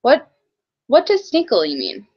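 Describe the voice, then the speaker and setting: A woman teacher giving a read-aloud lesson to young children in a halting, explanatory way. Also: high voice, huge pitch swings, husky. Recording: dull lo-fi recording.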